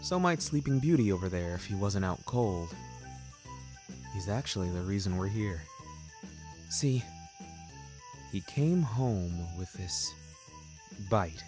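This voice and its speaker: A young man narrates a story in a lowish voice at a very unhurried pace. His delivery is literary and dramatic, each phrase carefully placed, with faint music underneath. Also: expressive. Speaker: narrator and author of a fiction podcast. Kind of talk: scripted first-person story narration.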